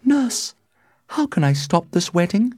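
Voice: medium pitch, very animated, measured pace, darkish tone, no room sound, studio quality, voice pushed up. A performer voicing a lovesick, distressed young noble daughter in a storybook reading, earnest and pleading.

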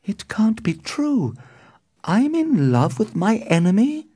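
A man voicing a lovestruck young noblewoman in a storybook, with a soft, breathy manner. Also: on the high side, expressive, normal speed, dry recording, studio quality, pitched above the performer's own voice. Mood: smitten, dismayed.

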